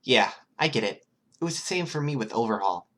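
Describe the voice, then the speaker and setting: A calm young mastermind , played by a male reader, friendly yet calculating. He sounds supportive and businesslike, like an audiobook character talking shop. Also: mid-range voice, brisk, voice pushed up, close to an everyday voice. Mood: understanding.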